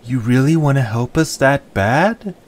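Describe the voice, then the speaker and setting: A man voicing an eager, excitable young hero in an audio drama, earnest and full of exclamations. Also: mid-range voice, unhurried, natural voice.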